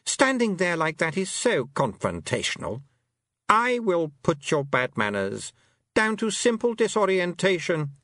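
A man's voice: sits high, sing-song, a bit bright, no echo, clean studio sound, voice pushed up, exaggerated voice. A man voicing a haughty, silky fairy queen. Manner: silky, condescending, drawn-out.

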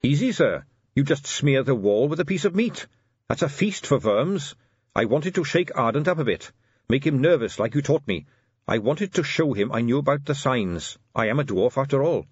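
A man's voice: mid-range voice, expressive, moderate pace, breathy edge, no room sound, lo-fi sound, voice pushed up. A man voicing an earnest, eager young male captain who speaks politely in a raised voice. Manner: earnest, polite, lifted and bright.

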